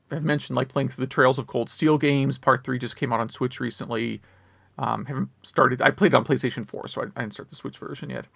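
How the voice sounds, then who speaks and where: Male podcast co-host, gravelly mid-range voice, casual, rambling, wry griping. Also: medium pitch, a bit bright, no room sound. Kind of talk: casual chat about video games.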